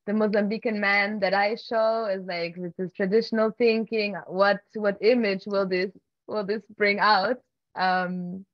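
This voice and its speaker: A woman's voice: mid-range voice, no room sound. Hesitant woman on a muffled video call. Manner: hesitant, many fillers and restarts, soft laughs. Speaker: filmmaker, keynote guest. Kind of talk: conversational Q&A answers. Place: home room with wooden beams. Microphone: laptop webcam on video call.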